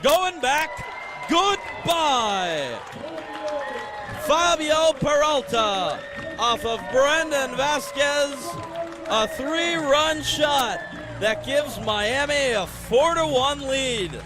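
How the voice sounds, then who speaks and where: A man's voice: extremely high pitch, lively intonation, very slow, music in the background, a crowd in the background. A young American man doing live radio play-by-play, conversational, stretching words on the big calls. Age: young adult. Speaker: play-by-play sports announcer.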